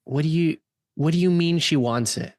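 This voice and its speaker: A man's voice: fairly high pitch, moderate pace, a little mellow, no room sound, studio quality, pitched above the performer's own voice, natural voice. A man playing an exasperated, protective teenage older brother in a radio play, slangy and defensive.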